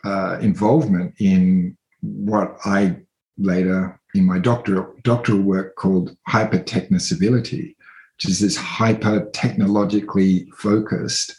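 An Australian man in his thirties speaks as an interview guest, thoughtful and unhurried, pausing often with fillers and restarts. His voice sits fairly low. The room around him is echoey and roomy. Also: steady tone, a little mellow. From country New South Wales, Australia. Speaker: interview guest, artist and researcher. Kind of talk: reflective conversational interview.

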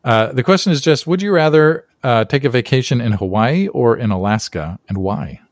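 A man's voice: mid-range voice, animated, normal speed, no room sound, clean studio sound. A man hosting a podcast interview, chatty and friendly, with frequent fillers. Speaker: podcast host. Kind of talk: casual interview chat.

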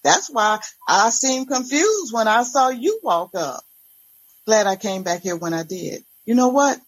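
A woman's voice: a little high, lively intonation, unhurried, slightly rough, dry recording, voice pushed up, poor audio. A woman voicing a puzzled, forthright female church worker in a story. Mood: concerned.

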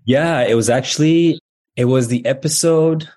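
A Canadian man talking as a podcast guest, reflective and quick to laugh. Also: medium pitch. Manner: warm, reflective, laughs easily. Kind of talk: casual interview talk.

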